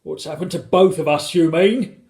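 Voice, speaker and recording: A storybook reader voicing a tiny, bossy, indignant father with a loud, commanding, emphatic manner. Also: medium pitch, very animated, slow, pitched above the performer's own voice.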